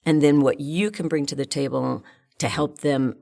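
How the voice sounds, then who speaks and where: A woman's voice: low voice, steady tone, normal speed, vocal fry, a little mellow. An older woman, a guest in an interview, talking frankly and thinking aloud with fillers. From United States. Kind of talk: casual interview chat.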